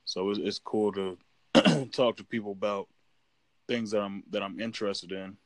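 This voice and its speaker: A man's voice: lowish voice, steady tone, normal speed, no room sound. A man in casual chat as a podcast guest, rambling with many fillers and restarts. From United States.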